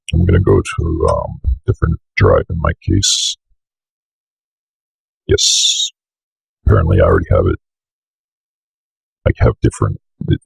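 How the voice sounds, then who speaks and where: A young man with a bit of a cold giving a casual software walkthrough in an offhand, mumbling way. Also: extremely low pitch, vocal fry, breathy edge, no echo. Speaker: software tutorial presenter. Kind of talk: casual screen-recorded walkthrough. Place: indoor room at a computer desk.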